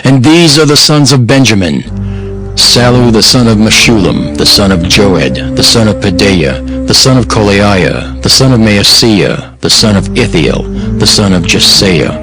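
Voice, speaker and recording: A man narrates a dramatized scripture audiobook in a solemn, measured, formal way. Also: lowish voice, expressive. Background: background music.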